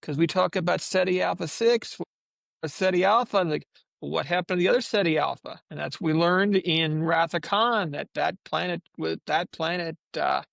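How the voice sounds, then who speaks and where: Male podcast panelist, high pitch with heavy fry, rambling casual fan talk, dry sound. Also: normal speed, fairly bright tone, dry recording.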